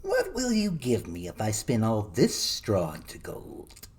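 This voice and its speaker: Man voicing a slow, sly little mannikin. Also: lowish voice, wildly swooping pitch, darkish tone, husky, heavily acted. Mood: sly wheedling. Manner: slow, drawn-out, wheedling. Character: sly little mannikin, magical male bargainer. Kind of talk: storybook character voice.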